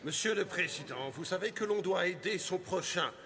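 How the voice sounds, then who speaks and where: Creaky, high indignant cartoon physician. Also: male voice, expressive, normal speed, sharp tone, exaggerated voice. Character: indignant, loyal male physician protesting in court. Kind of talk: French animated series dub acting.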